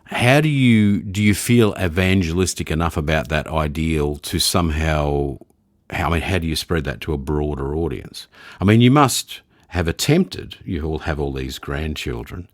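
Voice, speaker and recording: A man hosting a conversational podcast interview, thinking aloud in a halting, searching way. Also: extremely low pitch, animated, dry recording, studio quality. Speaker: podcast host.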